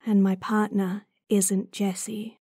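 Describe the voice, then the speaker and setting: Woman acting a husky, stubborn young werewolf. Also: medium pitch, little pitch movement, slow pace, dark tone, no echo, clean studio sound. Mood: earnest, resolute. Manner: earnest, defiant, restrained. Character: idealistic, stubborn young female werewolf in love. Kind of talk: audio roleplay drama acting.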